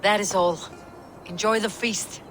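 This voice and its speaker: A woman's voice: medium pitch, fairly even intonation, a little slow, a bit bright, faint music behind, natural voice. An actress playing a resolute, vengeful Viking warrior in a video game, with blunt, terse delivery and noisy ambience behind her. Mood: measured. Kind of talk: video game cutscene acting.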